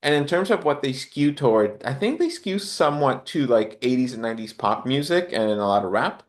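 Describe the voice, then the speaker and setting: A man's voice: medium pitch, very animated, a little fast. A man from Honduras chatting casually as a podcast guest, in a reflective, rambling way with hesitant phrasing. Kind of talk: casual interview chat.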